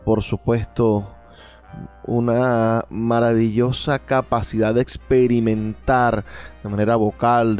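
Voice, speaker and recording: A man hosting a radio show, giving reflective music commentary in a halting, searching way. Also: lowish voice, darkish tone. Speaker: radio host.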